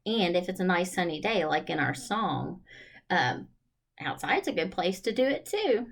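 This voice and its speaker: A woman's voice: fairly low pitch, big pitch swings, normal speed, vocal fry. A woman leading a children's storytime, reading and chatting in a singsong way and putting questions to her young listeners. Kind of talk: children's storytime read-aloud and chat.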